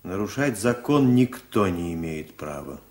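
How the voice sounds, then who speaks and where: An actor playing a courteous, dignified male lawyer in a radio drama, measured and theatrical with weighty pauses. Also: low-pitched, big pitch swings, a little mellow, exaggerated voice. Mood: grave, resolute. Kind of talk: 1980s Soviet radio drama acting.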